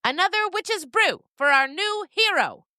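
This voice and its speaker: Female narrator as young city guy, keen and friendly, pitched up, for an audio tale. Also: big pitch swings, a little slow, sharp tone, no room sound, studio quality, exaggerated voice. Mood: eager.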